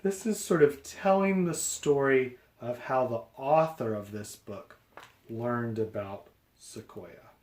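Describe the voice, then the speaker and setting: Male storyteller, unhurried, deliberate phrasing, children's read-aloud, medium pitch, echoey room. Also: darkish tone. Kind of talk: children's picture book read-aloud with explanatory asides.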